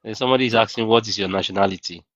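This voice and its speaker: A man's voice: on the low side, very fast, a bit bright, muffled recording. A man hosting a livestream, asking a caller questions and reading out viewer questions. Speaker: livestream host. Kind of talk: livestream interview.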